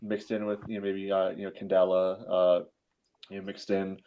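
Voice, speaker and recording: A man's voice: low-pitched, completely flat delivery, normal speed, mellow, rough recording. A man co-hosting a sports podcast, giving casual analysis in a matter-of-fact way.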